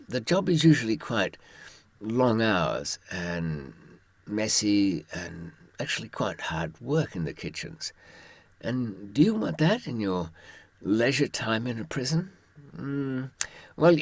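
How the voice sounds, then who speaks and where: A man's voice: fairly low pitch, vocal fry, husky, no room sound. An older man, telling anecdotes and giving advice from experience in a wry, droll raconteur's manner. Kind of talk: anecdotal storytelling to listeners.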